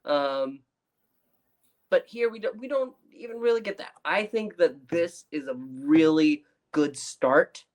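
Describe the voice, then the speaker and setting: A man co-hosting a casual review podcast, thinking aloud in a halting way with frequent restarts. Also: fairly high pitch, animated.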